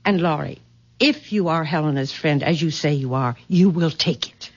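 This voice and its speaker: A woman's voice: sits low, normal speed. An actress playing an imperious, bitter middle-aged mother in a haughty, formal, commanding old-time radio style. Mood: insistent, imperious. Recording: dull, lo-fi vintage radio recording.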